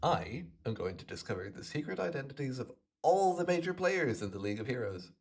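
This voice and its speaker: A man voicing a smug, playful hypnotist supervillain in an ASMR roleplay, gloating and teasing the listener in a gravelly voice. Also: mid-range voice, sing-song, a little fast, darkish tone. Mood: playful, persuasive. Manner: gloating, teasing, theatrical, swooping between murmurs and exclamations.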